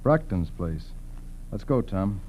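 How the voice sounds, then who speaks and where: A man's voice: low-pitched, very animated, slow pace, dark tone, heavily acted, rough recording. A man playing a businesslike federal investigator in 1940s radio acting style. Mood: probing. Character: businesslike male federal agent, brisk investigator. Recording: hissy 1940s radio recording.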